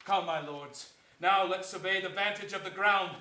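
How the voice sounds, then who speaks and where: A man's voice: sits high, moderate pace, bright, forceful tone. An actor playing a bold, scornful king in a Shakespearean stage reading, declamatory and sardonic. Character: bold, scornful king mustering for battle, merciless. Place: theater stage before an audience, indoors. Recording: echoey theater stage.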